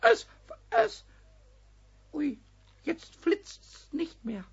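Cheeky little kobold, very high squawky voice, childish, eager, stammering, wildly swooping pitch, vintage radio play. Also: male voice, big pitch swings, very slow, gravelly, fairly bright tone, exaggerated voice. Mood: thrilled, shrieking. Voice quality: squawky, squealing, reedy. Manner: eager, repetitive, stammering, whining. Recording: dull, noisy vintage radio recording.

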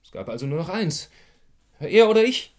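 An actor playing a cornered gangster in a German radio crime drama, bitter and defensive, with terse speech and dramatic emphasis. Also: male voice, high voice, very animated, moderate pace. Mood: grim confession.

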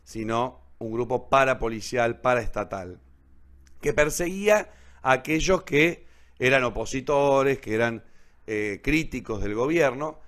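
A man's voice: medium pitch, unhurried, fairly bright tone. A man with an Argentine accent presenting a radio theatre programme, explaining literature informally and didactically, repeating key points and checking in with short questions.

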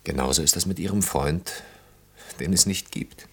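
A man's voice: low-pitched, moderate pace, husky. An actor in a German radio play, playing an earnest, self-reproaching man in his thirties in love, speaking in an intimate, ruminative way. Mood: agitated, arguing. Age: 30s.

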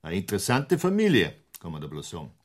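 Deep, patronising Bavarian official. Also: male voice, huge pitch swings, quick pace, exaggerated voice. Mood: scornful, amused. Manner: patronising, sardonic. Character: condescending, self-satisfied male provincial official in 1920s Bavaria. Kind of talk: radio crime drama acting.

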